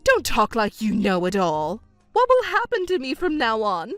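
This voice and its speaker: A woman acting a shrill, hysterical, self-pitying mother. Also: wildly swooping pitch, normal speed, fairly bright tone, no echo, soft background music. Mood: hysterical, pleading. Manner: shrill, whiny, indignant protesting. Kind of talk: melodramatic dubbed story acting.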